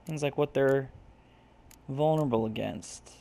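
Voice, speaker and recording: Creaky-voiced man doing casual game commentary. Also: medium pitch, unhurried, warm tone. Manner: musing aloud, informal. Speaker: gaming video host. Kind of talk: casual let's play commentary, reading game text aloud.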